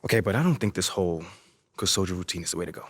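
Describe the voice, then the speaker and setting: A man playing a frustrated young rookie basketball player in a video game scene, with a husky, conversational, defensive delivery. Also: deep voice, expressive, very fast, no room sound, studio quality. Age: young adult. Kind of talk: naturalistic video game cutscene acting.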